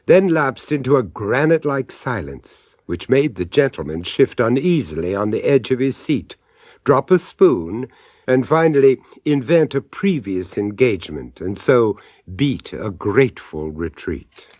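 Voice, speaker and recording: A man's voice: medium pitch, very animated, a little slow, darkish tone, no echo, clean studio sound. A man narrating a radio drama, in a wry storytelling manner.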